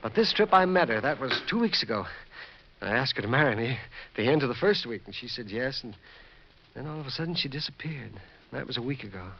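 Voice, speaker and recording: An actor playing an anxious, lovelorn man in an old radio drama, speaking in an earnest, pleading, halting way. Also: mid-range voice, lively intonation, vocal fry. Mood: agitated. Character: anxious, lovelorn man searching for his missing fiancée. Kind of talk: 1940s-50s radio drama acting. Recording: dull, hissy vintage radio recording.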